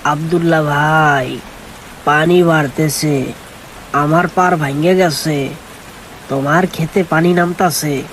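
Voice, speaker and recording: A man's voice: on the high side, steady tone, slow, pauses often, a bit bright, poor audio. A man plays an alarmed villager in a cartoon folk tale. He calls out a warning over faint hiss and rain. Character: alarmed male villager shouting flood warning. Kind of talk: animated folk tale acting.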